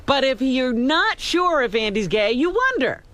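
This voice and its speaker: A woman's voice: sits high, sing-song, unhurried, a bit bright. A woman co-hosting a radio talk show, cutting in with skeptical, wry remarks. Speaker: radio co-host. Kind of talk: interview banter.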